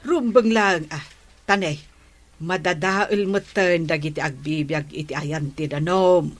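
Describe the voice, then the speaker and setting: A woman's voice: low-pitched, unhurried, soft background music. A woman acting a plain-spoken, caring villager in an Ilocano comedy radio drama, with an Ilocano accent. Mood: concerned.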